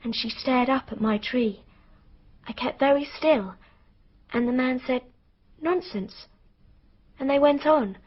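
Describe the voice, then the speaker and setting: A young girl witness in a radio drama, with a high, breathy voice. She is earnest and hesitant, as if confiding a secret. The recording sounds dull and muffled. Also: takes it slowly, pauses often, full character voice. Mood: haunted recounting.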